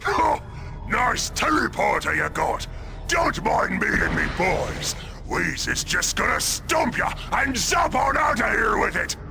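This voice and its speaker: A man playing a brash, belligerent ork warboss in a video game cutscene, with a gravelly, harsh Cockney voice and crude bellowing grammar. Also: sits high, big pitch swings, slow, raised voice, heavily acted. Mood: mocking, belligerent. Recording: game music under the voice.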